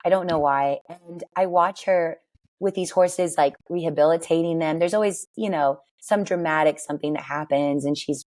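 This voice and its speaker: Raspy-voiced woman chatting easily. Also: low-pitched, moderate pace. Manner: chatty, laughs often, lively storytelling. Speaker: guest, worship singer. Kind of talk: casual interview chat.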